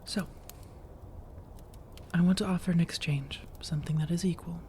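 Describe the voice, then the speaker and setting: A woman playing an elegant, long-lived female vampire in a soft-spoken ASMR roleplay, breathy and often whispering, with measured, formal, persuasive phrasing. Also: on the low side, fairly even intonation, slight background noise, close to an everyday voice. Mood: measured, serious. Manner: measured, deliberate, formal, coaxing.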